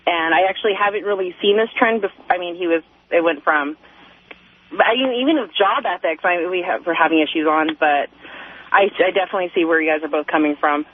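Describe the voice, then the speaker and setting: A young woman speaks as a caller on talk radio. Her delivery is casual and halting. The voice comes through a narrow, phone-like line. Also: fairly low pitch. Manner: hesitant, halting. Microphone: telephone.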